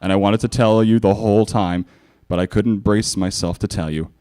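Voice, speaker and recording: A man's voice: on the low side, fairly even intonation, normal speed, warm tone, voice pushed down, lightly acted. A man voicing a smug, crude young male dragon sidekick in a live comic story reading. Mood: grave, earnest. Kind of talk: live dramatic fan fiction reading.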